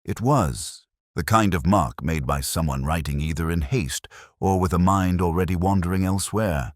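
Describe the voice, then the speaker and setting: A man playing a contemplative, brooding police detective, narrating a mystery audio drama in the first person with measured, solemn, literary delivery. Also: low-pitched, no room sound, studio quality, close to an everyday voice. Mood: brooding, reflective. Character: brooding, contemplative male police detective recounting a murder case.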